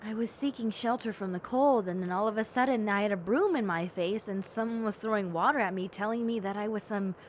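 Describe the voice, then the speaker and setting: A woman voicing a timid, excitable young bat-girl hybrid in a roleplay audio drama, polite and gushing, with hesitant little exclamations. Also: medium pitch, fairly quick, hardly any pauses, slight background noise, lightly acted. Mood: earnest, warm.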